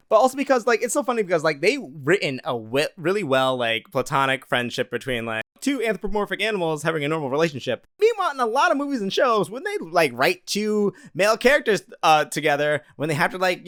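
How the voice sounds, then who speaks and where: Animated, higher-pitched man giving a casual review. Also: fast talker, hardly any pauses, dry recording, clean studio sound. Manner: animated, exaggerated emphasis, playful slangy asides. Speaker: YouTube movie reviewer. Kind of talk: casual video review to viewers.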